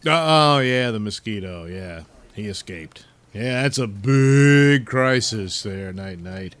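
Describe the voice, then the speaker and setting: Man as a low-voiced, dismissive police commissioner. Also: slow pace. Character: harried, dismissive middle-aged male police commissioner. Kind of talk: comedic superhero audio drama.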